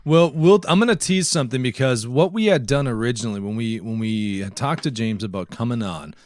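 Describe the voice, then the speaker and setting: A man talks casually as a podcast co-host in a close, dry recording. His voice sits at a medium pitch, and he jokes along, often laughing through his sentences. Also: a little fast, dry recording. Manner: jokey, laughs often while talking.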